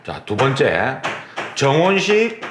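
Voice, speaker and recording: A man's voice: mid-range voice, huge pitch swings, slow. A man teaching an exam prep lecture, repeating key terms and talking through demonstrations. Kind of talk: recorded lecture. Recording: roomy lecture recording, faint noise.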